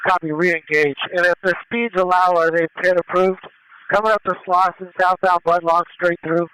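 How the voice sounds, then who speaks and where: A man calling a police pursuit over the radio, directing units in a measured, matter-of-fact way. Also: sits high, little pitch movement, sharp tone, clean tone, low-quality recording. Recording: live police scanner radio transmission.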